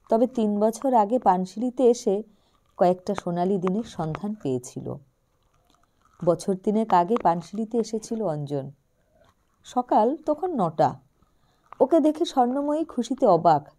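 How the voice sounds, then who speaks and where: A woman narrating a short story in a calm, measured reading. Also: mid-range voice, a little hiss or hum.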